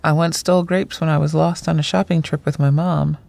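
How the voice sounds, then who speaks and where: Sardonic woman screenwriter, lowish pitch, gravelly fry, dry humour, audio drama. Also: fairly even intonation, brisk, a little mellow, no room sound. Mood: wry, playful. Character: wry self-deprecating woman screenwriter among close friends. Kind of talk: naturalistic audio drama acting.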